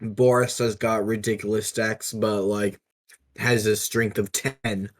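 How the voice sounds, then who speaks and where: A man co-hosting a podcast, casually discussing tabletop roleplaying in a halting, measured way. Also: lowish voice, little pitch movement. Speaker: podcast co-host.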